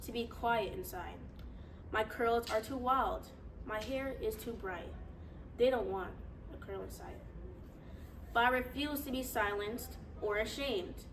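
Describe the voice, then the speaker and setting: A woman declaims spoken-word verse in a proud, rhythmic cadence. Her voice is a little high and sits back from the microphone, with a faint hiss behind it. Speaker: poet. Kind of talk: spoken-word poetry recitation. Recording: distant mic with faint noise.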